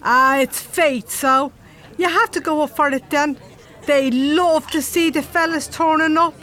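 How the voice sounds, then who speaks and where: A woman's voice: sits high, fairly even intonation, unhurried, fairly bright tone. A woman playing a flirtatious, chatty barmaid with an Irish accent in a radio drama, playful and teasing. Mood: cheeky, friendly.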